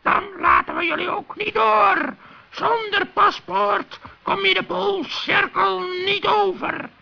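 A performer playing a stubborn, officious male gannet working as a border guard in a children's radio play, with a squawking, creaky voice. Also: extremely high pitch, steady tone, slow pace, full character voice. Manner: officious, unbending. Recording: dull old radio play recording.

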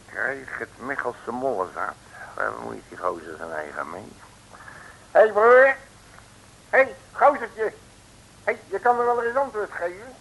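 An actor playing a cocky, slangy streetwise detective in an early 1960s comic radio serial, blustering and emphatic. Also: male voice, fairly high pitch, measured pace, pauses often, fairly bright tone, slight background noise, poor audio. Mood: indignant. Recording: old radio broadcast recording.

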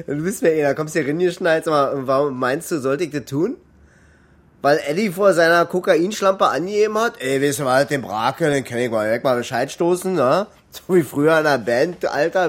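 An actor playing a blustering, smug tabloid gossip king in a radio drama, chummy and condescending. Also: fairly high pitch.

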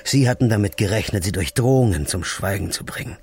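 A man's voice: lowish voice, animated, brisk, darkish tone, husky. An actor playing a grim, desperate man confronting the killer of his girlfriend in a radio play. He asks blunt, accusing questions, sometimes dropping to a whisper.

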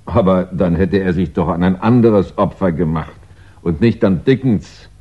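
An actor playing a sceptical, husky-voiced detective reasoning through a case in a 1960s German radio play. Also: male voice, deep voice, moderate pace. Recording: dull 1960s radio recording.

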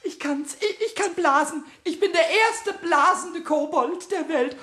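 A man voicing a small, gleeful, boastful kobold with a squeaky, childlike voice in a children's radio play. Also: unhurried, music in the background. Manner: gleeful, self-admiring, impulsive. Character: small, excitable, boastful, rhyme-loving male kobold.

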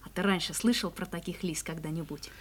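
A woman's voice: fairly low pitch, brisk, husky. Eager, curious little boy. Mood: curious, amused. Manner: eager, questioning. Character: curious, cheerful young boy, forester's son. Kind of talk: 1970s Soviet audio play acting.

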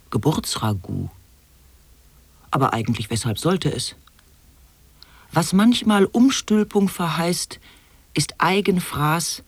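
A solemn, absurd wordplay monologist in an experimental radio play. The voice is husky and breathy, very slow and halting, placing each word deliberately and sinking at times toward a whisper. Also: male voice, a little high, a little mellow, no echo.

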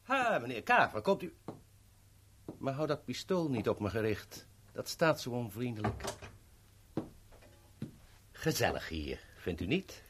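An actor in a 1970s radio play voicing a smooth, sly henchman who negotiates with polite, teasing mock courtesy. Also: mid-range voice, very animated, unhurried, pauses often, fairly bright tone, a little hiss or hum. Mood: suave, teasing. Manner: polite, formal, teasing understatement.

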